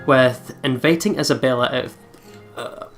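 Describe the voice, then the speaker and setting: A man's voice: mid-range voice, normal speed, fairly bright tone. A man hosting a let's play, switching between reading story text aloud and chatty asides, with game music behind. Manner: giggly, chatty asides between read passages. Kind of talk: reading visual novel text aloud mixed with casual chat. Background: game background music.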